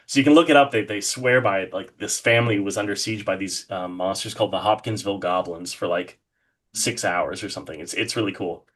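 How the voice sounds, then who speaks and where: A man with a fairly low voice speaks as the guest in a casual interview. He rambles with enthusiasm, often hesitating mid-thought before carrying on. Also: a little fast, fairly bright tone. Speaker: guest, horror artist being interviewed.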